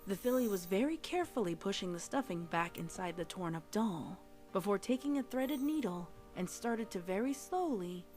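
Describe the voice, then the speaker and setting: A woman's voice: medium pitch, lively intonation, moderate pace. A woman giving a scripted, dramatic narration of a story. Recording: loud music behind voice. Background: music.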